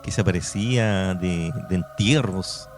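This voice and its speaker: A man's voice: sits low, a little slow. A man with a Chilean accent in casual conversation as a radio co-host. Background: soft music.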